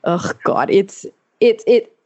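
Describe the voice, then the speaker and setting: A young adult woman hosting a casual podcast interview, chatty and animated, laughing often and using fillers like "like" and "um". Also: medium pitch, slow, no room sound, highs cut off. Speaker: podcast host.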